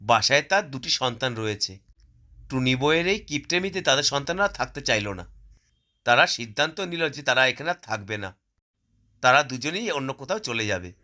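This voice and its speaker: A man's voice: medium pitch, big pitch swings, moderate pace, pushed and bright. A man narrating a scripted cartoon story as the storyteller.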